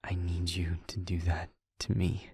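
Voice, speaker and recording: Guy doing a soft slow vampire boyfriend, real breathy, anxious n tender, halting whispers, audio rp. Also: sits low, monotone, no room sound, studio quality, heavily acted. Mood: pleading, grave. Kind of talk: intimate audio roleplay, acted.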